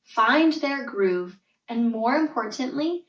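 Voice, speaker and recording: A woman's voice: fairly high pitch, expressive, slow pace, echoey room, distant mic. A Canadian woman in her thirties hosting a solo podcast, speaking in an earnest, reassuring and emphatic way. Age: 30s. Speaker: podcast host, tutor and coach. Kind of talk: scripted solo podcast intro.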